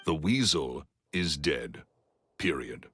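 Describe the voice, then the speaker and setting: A man playing a gruff, impatient, middle-aged master magician in a video game, with a booming voice and a curt, dismissive manner. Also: expressive, takes it slowly, fairly bright tone, faint music behind, heavily acted. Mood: stern, insistent.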